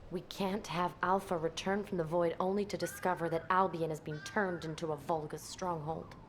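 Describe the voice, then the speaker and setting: A woman's voice: fairly low pitch, fairly even intonation, normal speed, slight background noise, close to an everyday voice. A woman playing a confident, blunt, teasing female military commander in a scripted video game scene. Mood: sober, frank. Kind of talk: scripted game cutscene acting.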